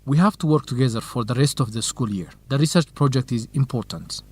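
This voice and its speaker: An actor playing an earnest, cautious, lovestruck young research assistant in an educational radio drama. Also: male voice, mid-range voice, fairly quick, a little mellow, breathy edge, natural voice. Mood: worried. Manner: earnest, anxious, principled.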